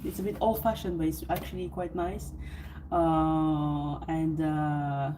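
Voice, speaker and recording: A French woman chats loosely to her stream viewers from a hotel suite, her voice mellow and soft-edged. She rambles and restarts, leaning on fillers, and sounds a little sleepy. A faint hiss of noise sits under the recording. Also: sits low, steady tone, slow pace. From France. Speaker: livestream host. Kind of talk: casual livestream chat with viewers.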